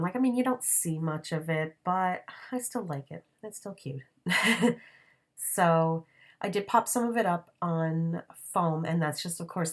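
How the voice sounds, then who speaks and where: A woman with a cold-roughened, raspy voice, chatting casually through a scrapbooking process video with lots of fillers and restarts. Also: low-pitched. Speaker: scrapbooking vlogger. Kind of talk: casual craft process narration.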